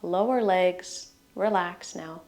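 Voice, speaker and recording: A woman leading a guided relaxation in soothing, gentle short phrases that trail off softly at the ends. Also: lowish voice, takes it slowly. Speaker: meditation guide.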